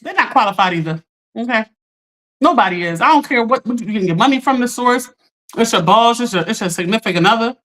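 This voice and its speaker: Chatty woman on a livestream. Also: fairly quick. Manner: chatty, talks straight to chat, animated pitch jumps. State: recovering from lost voice. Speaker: livestream host. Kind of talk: casual livestream chat.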